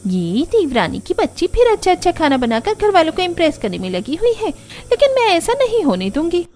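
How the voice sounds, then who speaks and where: A jealous, scheming elder sister-in-law with a very high voice, put on by a woman. She is bossy and snippy, complaining her way through a cartoon family drama. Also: wildly swooping pitch, brisk, darkish tone, slight background noise, exaggerated voice.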